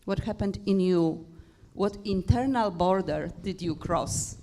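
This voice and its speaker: A woman with a Polish accent moderates a conference panel, putting questions to the guests. She speaks very slowly and haltingly, searching for her words. Her voice is warm and mellow. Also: medium pitch, slight background noise.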